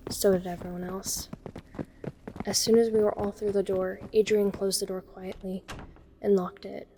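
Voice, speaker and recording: An actress plays a frightened young woman narrating a horror audio drama in the first person. Her voice is mellow and relaxed in timbre, and her pitch stays in a narrow, level band. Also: normal speed, a little hiss or hum, natural voice. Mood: suspenseful. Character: frightened young woman recounting being trapped in horror story.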